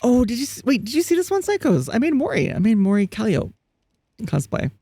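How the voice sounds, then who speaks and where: Gravelly, mellow live streamer. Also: mid-range voice, wildly swooping pitch, a little fast, dry recording, studio quality. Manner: chatty, laughs often, reads chat aloud; frequent restarts and fillers. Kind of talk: casual live-stream chat while gaming.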